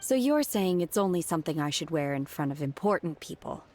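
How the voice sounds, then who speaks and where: A woman's voice: deep voice, sing-song, brisk, a little mellow, faint music behind. A woman playing an aloof, literal-minded, earnest young woman in a video game, speaking plainly. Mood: puzzled.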